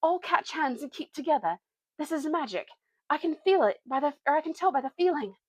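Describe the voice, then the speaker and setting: Woman acting a breathless, plucky English schoolboy, raised pitch. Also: expressive, brisk, no room sound, rough recording. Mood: urgent. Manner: breathless, emphatic.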